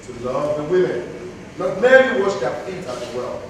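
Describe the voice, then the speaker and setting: A defiant, pompous deposed king declaiming on stage in a high voice. He is heard from far off in a very echoey hall. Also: very animated, moderate pace, slight background noise. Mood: grand, defiant. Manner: oratorical, pompous. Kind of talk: declamatory theatrical stage monologue. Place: indoor theatre stage.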